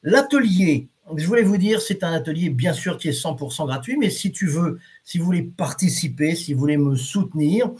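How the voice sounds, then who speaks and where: A man's voice: fairly high pitch, lively intonation, moderate pace, hardly any pauses, a little mellow. A man teaching reflexology on a live stream, talking casually to his viewers with many fillers and restarts and reading out their comments. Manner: reads viewer comments aloud.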